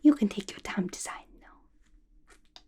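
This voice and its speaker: A woman playing a young, playful, violent-minded female villain in an ASMR roleplay, gossiping to the listener in a chatty, conspiratorial breathy whisper. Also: a little slow, natural voice. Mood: playful, reassuring. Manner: chatty, conspiratorial, giggly, colloquial. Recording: clear background noise, soft clicks.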